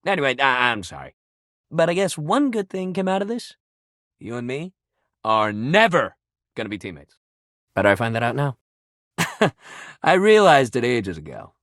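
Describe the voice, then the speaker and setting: An actor playing a sports-obsessed, warm-hearted young jock pal in a video game, with exuberant, slangy bro talk. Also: male voice, on the high side, no room sound, studio quality. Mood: hurt, disappointed. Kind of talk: video game character acting.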